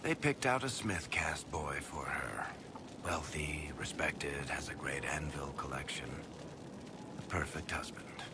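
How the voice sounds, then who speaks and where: An actor playing a wry, guilt-ridden dwarf storyteller in a video game, blunt and sardonic but hesitant when pressed. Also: male voice, extremely low pitch, measured pace, heavy background noise. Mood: wistful, sarcastic.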